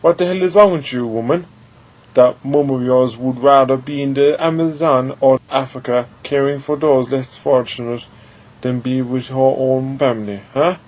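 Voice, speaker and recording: A man's voice: medium pitch, steady tone, normal speed, close to an everyday voice. An actor playing a bitter, angry husband and father in an audio drama, sarcastic and accusing. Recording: dull lo-fi audio.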